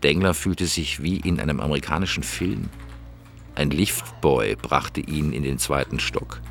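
An actor playing a cool, observant private investigator, narrating and speaking in a plain, understated way in a radio drama. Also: male voice, a bass voice, a little slow, gravelly, soft background music, full character voice.

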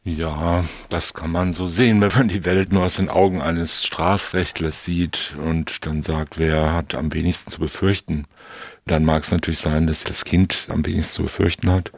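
A man with a very deep voice that is noticeably husky, recorded close and dry. He speaks as an expert guest, reasoning aloud in long, deliberate, carefully hedged explanations. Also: fairly even intonation, no room sound. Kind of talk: unscripted analytical discussion.

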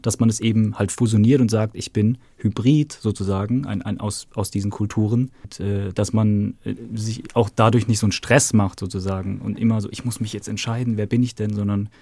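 A man's voice: fairly low pitch, steady tone, fairly quick, few pauses, dark tone, no room sound. A man in a band explaining his view casually in an interview, with many fillers. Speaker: band member. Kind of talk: interview answer.

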